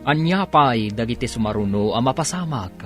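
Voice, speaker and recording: A man's voice: mid-range voice, lively intonation, moderate pace. A man with a Filipino Ilocano accent narrating and announcing a radio drama in a dramatic, scripted style. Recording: radio broadcast with music behind.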